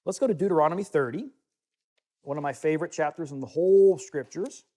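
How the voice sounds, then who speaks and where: A man's voice: a little high, a little fast, mellow. A man giving a teaching sermon to listeners in a room, reading scripture aloud and adding conversational asides. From United States. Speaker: Bible teacher.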